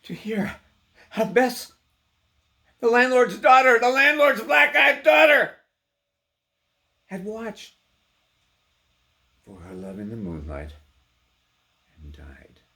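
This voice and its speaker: A man giving a theatrical, intense dramatic recitation of poetry, swinging between hushed delivery and full-throated cries. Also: extremely high pitch, huge pitch swings, slow, pauses often. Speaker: poetry performer.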